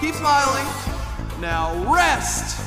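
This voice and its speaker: Relentless parody workout leader: commanding short cues, high pitch, roomy echo. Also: female voice, big pitch swings, extremely slow, full character voice. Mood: relentlessly motivating. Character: relentlessly upbeat, demanding exercise instructor. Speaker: workout instructor. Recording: echoey, loud music behind.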